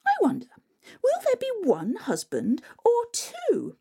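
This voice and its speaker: A woman voicing vain, squabbling young stepsisters in high, shrill voices. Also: huge pitch swings, slow, creaky, mellow, exaggerated voice. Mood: boastful, bickering.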